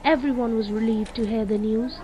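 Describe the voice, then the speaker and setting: A woman with a Nepali accent hosting a children's radio show and reading stories aloud in a deliberate, clearly phrased way for young listeners. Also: fairly high pitch, fairly even intonation, background noise. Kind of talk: read-aloud children's storytelling, radio presenting. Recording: dull lo-fi radio audio with music. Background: music.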